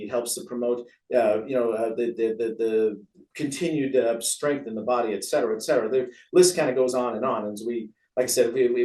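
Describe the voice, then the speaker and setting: Male American interview guest, physician type. Casual, meandering, self-effacing explainer, mid-pitched, recorded at a distance with room echo. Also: a little mellow. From San Francisco, USA. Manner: rambling, chatty, self-deprecating. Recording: roomy echo, distant from mic.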